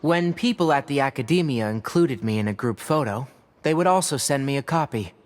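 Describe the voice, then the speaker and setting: An actor playing a dry, sardonic but principled forest watcher and scholar in a video game, speaking precisely. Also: male voice, mid-range voice, lively intonation, fairly quick, lightly acted. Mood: measured, wry. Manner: precise, wry, scholarly delivery. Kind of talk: scripted video game voice acting.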